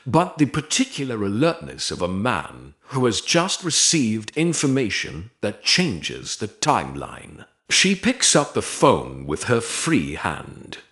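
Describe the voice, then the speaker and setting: A man narrating dramatic romance fiction in a measured, deliberate way, in short phrases with pauses. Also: fairly low pitch, wildly swooping pitch, a bit bright. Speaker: audiobook narrator.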